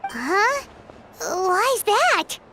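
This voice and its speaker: A woman voicing a small, excitable childlike fairy companion in a video game, squeaky and expressive. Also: extremely slow, slight background noise, exaggerated voice. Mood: chirpy. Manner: speaks of herself in third person, expressive. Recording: loud music behind.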